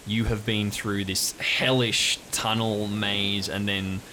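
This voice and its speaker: A man's voice: lowish voice, flat delivery, slow pace, sharp tone, slight background noise, poor audio. A man working as game master, improvising vivid second-person narration of a tabletop adventure. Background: music.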